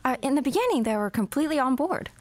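A woman interpreting a guest's answers in first person during an interview recorded in an office. She speaks calmly and carefully, with small restarts. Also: sits high, fast talker. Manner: calm, careful, thoughtful delivery with small restarts.

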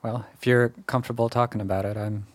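A man's voice: lowish voice, steady tone, quick pace, dry recording. A man hosting a podcast, asking questions in a casual, conversational interview style.

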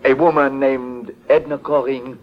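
Formal Frenchman played by a male actor, French accent, mid-pitched, vintage radio drama, muffled recording. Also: steady tone, close to an everyday voice. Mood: grave. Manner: formal, polite, slightly halting. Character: courteous, grave Frenchman raised in North Africa. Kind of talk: 1940s radio acting.

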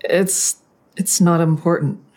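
An actress playing a hesitant, guilt-ridden grown daughter who narrates and confesses plainly in an audio drama. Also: female voice, on the low side, fairly even intonation, takes it slowly, darkish tone. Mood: hesitant, guilty. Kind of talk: audio drama acting with first-person narration.

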